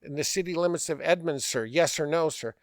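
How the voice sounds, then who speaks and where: A man's voice: on the high side, brisk, no echo, studio quality, close to an everyday voice. A man playing a stern, insistent male police officer with a formal, commanding way of talking, in a prank retelling. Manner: formal, commanding, repeatedly says "sir".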